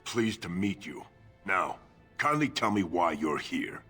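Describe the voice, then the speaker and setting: A man playing a gruff, self-interested veteran fighter in a video game, blunt and sardonic. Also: low-pitched, sing-song, measured pace, bright, forceful tone, soft background music, full character voice. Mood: sardonic, wary.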